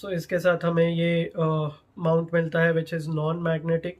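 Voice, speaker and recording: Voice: mid-range voice, monotone, takes it slowly. A product reviewer with an Indian accent narrates an unboxing casually, switching between Hindi and English. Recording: clear background noise, handling clicks.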